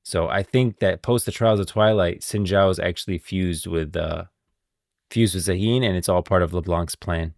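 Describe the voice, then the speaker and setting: A man talks as a game lore streamer. His voice is deep and recorded close and dry, and he sounds casual and insistent, reasoning things out aloud. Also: moderate pace, creaky, a little mellow, no echo. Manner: informal, thinking aloud, insistent. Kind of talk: casual livestream commentary.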